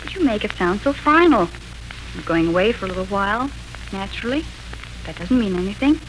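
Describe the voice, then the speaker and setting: An actress playing a breezy, wry grown daughter and aunt in a 1940s radio family serial. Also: female voice, mid-range voice, animated, a little fast, close to an everyday voice. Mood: questioning, serious. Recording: noisy 1940s radio recording.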